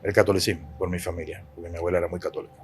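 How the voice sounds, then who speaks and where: Cuban guy in his 40s, priest on a podcast, low voice, leans hard on words and says them twice. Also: flat delivery, racing, a little hiss or hum. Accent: Cuban Spanish. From Cuba. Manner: emphatic, preachy, repeats key words. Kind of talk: podcast interview.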